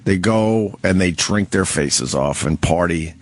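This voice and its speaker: A man's voice: low voice, a little slow, no echo. A man co-hosting a radio show in casual, teasing banter, a little sniffly. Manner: teasing, rambling with fillers. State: slightly sniffly.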